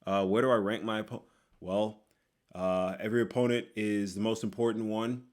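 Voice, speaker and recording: A young man from Texas reads viewer comments aloud and answers them in a loose, joking way. His voice sits a little low, and he tosses in quick sarcastic asides. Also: steady tone. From Texas, USA. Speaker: YouTuber host. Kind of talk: casual Q&A reading comments.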